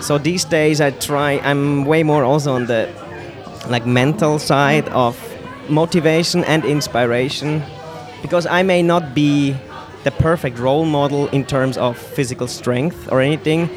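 Swiss man, slightly high voice, busy street. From Switzerland. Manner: plain, easygoing answers with a light laugh. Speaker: guest, personal trainer. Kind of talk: casual conversation. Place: outdoors in a city. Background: music and crowd.

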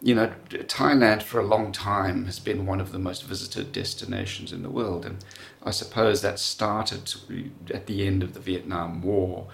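A man's voice: sits low, steady tone, normal speed, vocal fry, few pauses, slight background noise. A middle-aged man from South Africa, chatting as a guest in a rambling, self-deprecating way with many fillers. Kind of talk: casual chat.

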